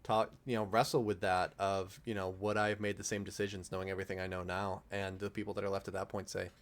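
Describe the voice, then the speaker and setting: Low-pitched man in casual discussion. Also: little pitch movement, quick pace. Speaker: panelist in a cast and crew commentary.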